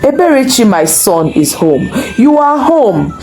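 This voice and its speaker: Lady doing a forgiving dad in a folktale, tender exclaiming, slow with big pitch swings. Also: very animated, a little mellow, soft background music. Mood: joyful, tender. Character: loving, forgiving father of grown sons.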